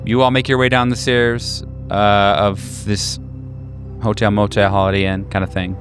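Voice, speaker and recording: A man narrating a tabletop game as game master, improvising in a conversational, halting way. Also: fairly low pitch, steady tone, soft background music.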